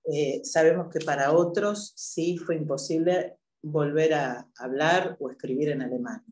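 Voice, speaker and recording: A woman moderating a public event, speaking in a measured way with hesitant filler pauses. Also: low voice, steady tone, a little mellow. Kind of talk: introductory talk at a public event. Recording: echoey room.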